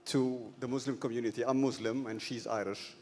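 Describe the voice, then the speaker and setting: A man from Egypt, an audience member speaking haltingly with many restarts. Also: mid-range voice, steady tone, darkish tone. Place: large event hall. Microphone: handheld microphone passed to him.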